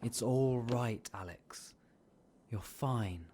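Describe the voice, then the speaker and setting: A man playing a loyal, rough-tongued but apologetic friend in an audio drama, halting and subdued. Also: medium pitch, warm tone, slight background noise. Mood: grateful, bitter.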